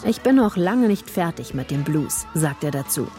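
A woman narrates a news feature in a low, mellow voice. Her delivery is even and measured, and faint music plays underneath. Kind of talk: scripted narration.

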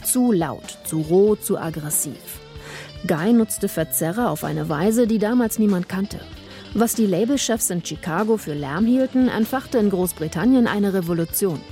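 A woman giving scripted narration for a news feature in an even, measured delivery. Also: mid-range voice, faint music behind.

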